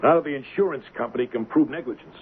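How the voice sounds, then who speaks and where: An actor playing a bitter, mocking, hard-drinking ship's engineer turned blackmailer in an old-time radio drama. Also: male voice, mid-range voice, fast, lightly acted. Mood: bitter, accusing. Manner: mocking, theatrical, taunting. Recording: lo-fi, noisy radio drama recording.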